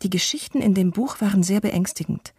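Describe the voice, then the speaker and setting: A woman voicing a guilt-ridden adult who reflects on her life in a measured way, as scripted radio documentary narration. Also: mid-range voice, warm tone, slightly rough, dry recording, studio quality, natural voice. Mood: reflective, regretful. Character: guilt-ridden adult woman confessing lifelong fear and inaction.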